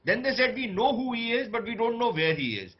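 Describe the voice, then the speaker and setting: A man's voice: extremely high pitch, big pitch swings, fairly quick, lo-fi sound. A man with an Indian accent giving analytical debate commentary as a panelist, building his argument emphatically step by step.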